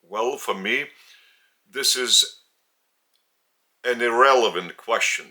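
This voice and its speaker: A man with a Lithuanian accent, a native Lithuanian speaker, giving a spiritual teaching from home in a slow, deliberate, earnest way with many pauses. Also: medium pitch, sharp tone. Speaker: religious teacher. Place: indoors at home.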